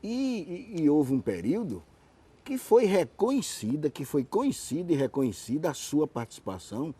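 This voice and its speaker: A man hosting a conversational TV interview in a halting, wandering way. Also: fairly high pitch, sing-song, warm tone, slightly rough. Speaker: TV interview host.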